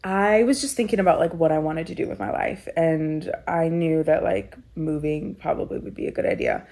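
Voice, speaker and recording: A woman's voice: low-pitched, expressive, moderate pace, creaky, warm tone. A young woman from Kenya, telling her personal story in a reflective, conversational way with many filler words. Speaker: storyteller guest.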